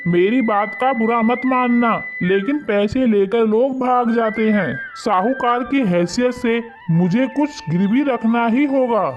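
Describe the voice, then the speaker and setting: Man acting a slow-talking, condescending village moneylender. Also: very high voice. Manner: condescending, wary. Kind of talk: Hindi animated moral story dialogue. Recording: muffled lo-fi audio under loud music.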